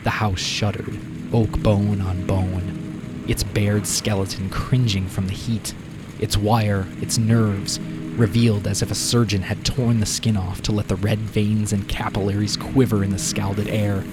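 A man narrating a scripted short story as audio drama, with deliberate, dramatic phrasing. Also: fairly low pitch, level tone, poor audio. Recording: prominent music behind the narration.

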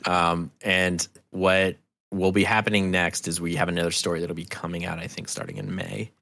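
A man's voice: deep voice, little pitch movement, moderate pace, creaky, sharp tone. A man talking as an interview guest, loose and enthusiastic, swearing casually as he chats. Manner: loose, enthusiastic, freely profane. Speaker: podcast guest, audio drama co-creator.

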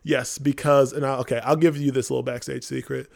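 A man hosting a podcast, a playwright telling stories casually in a halting, informal way. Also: mid-range voice, little pitch movement, creaky, darkish tone. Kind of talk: casual storytelling chat.

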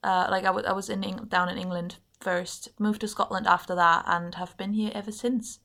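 A woman's voice: medium pitch, little pitch movement, normal speed, creaky, fairly bright tone. A young woman from Germany, a native German speaker, talking thoughtfully and a little hesitantly as a podcast guest. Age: young adult. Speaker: podcast guest, politics master's student. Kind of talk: casual interview conversation.

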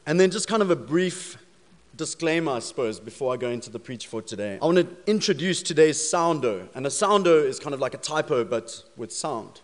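A man's voice: a little high, lively intonation, fairly quick. A man with a South African accent delivers a Sunday sermon into a microphone. His delivery is conversational and humorous as he tells stories to the congregation. His voice carries a long reverb from the large church hall. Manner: storytelling, jokey then prayerful. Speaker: pastor. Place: church sanctuary. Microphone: handheld or stage microphone.

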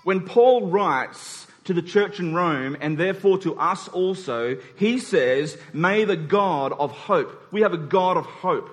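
A man's voice: high voice, lively intonation, takes it slowly, few pauses. A man preaching a conversational sermon, repeating key phrases for emphasis and checking in with his listeners through short rhetorical questions. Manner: rhetorical check-ins with the congregation.

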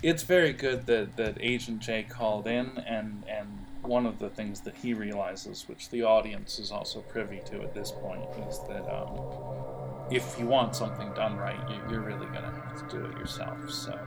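A man narrating an improvised role-playing game as game master, conversational and pausing to think. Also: on the low side, gravelly, over loud music.

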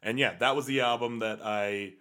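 A man's voice: mid-range voice, fairly even intonation, normal speed, bright, forceful tone. Podcast co-host, man, casual chat, hesitant and rambling delivery. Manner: rambling, hesitant, lots of fillers and restarts. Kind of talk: casual chat about punk music. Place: Salt Lake City, USA.

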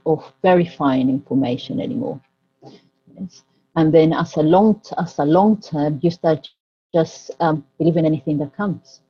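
A woman from Ecuador with a low, husky voice, speaking English as an on a panel. She gropes for words and repeats herself as she goes. The sound is dull and muffled. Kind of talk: panel talk.

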